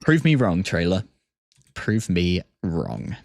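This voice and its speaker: A man giving casual livestream reaction commentary, enthusiastic and thinking out loud as he goes. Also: deep voice, huge pitch swings, takes it slowly, vocal fry. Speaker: streamer. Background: music from game trailers.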